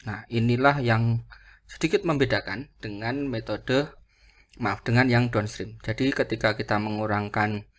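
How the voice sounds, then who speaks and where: A man's voice: mid-range voice, unhurried. A man lecturing through worked calculations, didactic, often asking a question and then answering it himself. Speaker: accounting lecturer.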